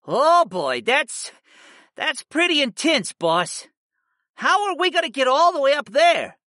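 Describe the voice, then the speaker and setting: Male narrator as loyal male cat creature, raised pitch, excitable, affectionate, casual sidekick, audiobook fantasy. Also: measured pace, dry recording, clean studio sound, full character voice. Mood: awed, nervous. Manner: eager, earnest, casual buddy-like talk. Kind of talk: audiobook character voice.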